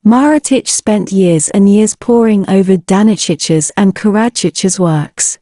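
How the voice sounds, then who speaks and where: A woman narrating a scripted history lecture in an even, read-aloud manner. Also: mid-range voice, a little slow, no room sound, studio quality.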